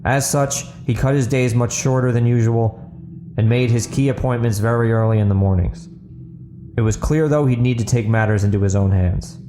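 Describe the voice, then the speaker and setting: A man's voice: mid-range voice, steady tone, moderate pace, warm tone, soft background music. A man reading a scripted story as the narrator of an audio book. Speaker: author narrating his audio book podcast.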